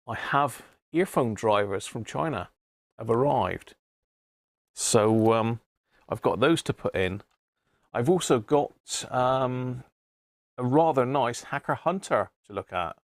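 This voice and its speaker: A man hosting a casual live stream about radio repairs, croaky today, chatting and greeting viewers by name. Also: mid-range voice, no echo. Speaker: radio repair livestream host.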